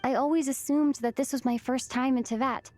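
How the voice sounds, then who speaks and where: Woman playing an earnest young traveler, high voice. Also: fairly even intonation, a little fast, a little mellow, close to an everyday voice. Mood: pensive. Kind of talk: video game cutscene voice acting. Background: background music.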